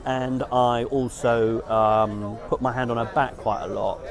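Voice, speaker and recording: A man's voice: mid-range voice, fairly even intonation, a little slow. A middle-aged man telling a dating story straight to camera outdoors, rambling and frank, often restarting his sentences. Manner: rambling, frank, self-correcting. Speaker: dating vlogger. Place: outdoors beside a garden hedge in town.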